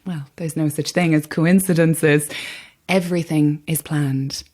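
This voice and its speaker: A woman playing a brisk, polite castle employee, confiding yet evasive, in a naturalistic audio horror drama. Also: low-pitched, fairly even intonation, a little mellow. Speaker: actor.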